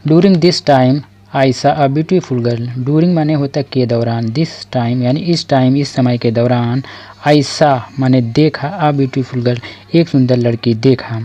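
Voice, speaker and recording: Slow, dark-voiced Indian man teaching English. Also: medium pitch, steady tone. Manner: reads English lines very slowly, then explains in Hindi. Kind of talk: slow bilingual English lesson. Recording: dull lo-fi sound.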